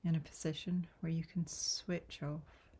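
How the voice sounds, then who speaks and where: A woman guiding a yoga nidra relaxation in a soft, breathy, soothing voice, gentle and unhurried with long pauses. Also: deep voice, level tone. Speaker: yoga nidra meditation guide. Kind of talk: guided relaxation meditation.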